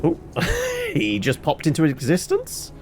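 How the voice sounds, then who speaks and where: A man's voice: high voice, huge pitch swings, takes it slowly, noisy background. A man giving casual, chatty video game commentary, playfully thinking aloud to himself.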